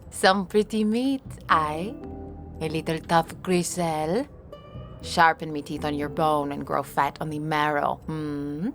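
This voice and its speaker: A woman's voice: low-pitched, fairly even intonation, soft background music. A woman playing a wicked, feral ghoul matriarch in an audio drama, taunting and hungry in archaic speech. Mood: gloating hunger. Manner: slow, taunting, archaic speech mixed with French words.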